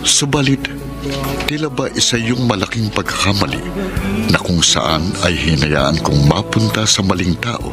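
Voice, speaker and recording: A man's voice: sits low, animated, normal speed. An actor playing a sorrowful, self-sacrificing man in love in a Filipino radio drama. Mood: regretful. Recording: loud music bed behind.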